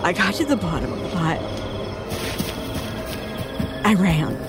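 An actress playing a traumatized survivor in an audio horror drama, telling a nightmarish ordeal in halting, broken phrases. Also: female voice, lowish voice, big pitch swings, loud music behind the voice. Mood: shaken, anguished. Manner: halting, haunted, breaking off and repeating words. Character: traumatized woman survivor recounting a nightmarish attack by neighbors. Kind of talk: audio horror drama acting, testimony monologue.

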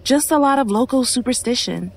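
A woman's voice: on the high side, animated, a little fast. Guarded female shopkeeper. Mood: dismissive, guarded. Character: guarded local shopkeeper woman in a ghost story. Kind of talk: horror audio drama acting.

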